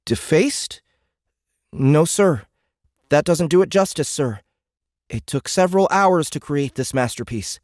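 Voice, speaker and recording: A man's voice: on the high side, animated, unhurried, long pauses, darkish tone, no room sound, clean studio sound, voice pushed up. A man voicing a defiant, sarcastic fourteen-year-old boy held captive, wary and cheeky, in a sci-fi audiobook. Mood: cheeky defiance.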